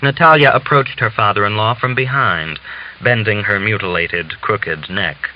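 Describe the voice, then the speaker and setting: Low-voiced male narrator, muffled hissy recording. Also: normal speed. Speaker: audiobook narrator. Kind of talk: literary scripted narration.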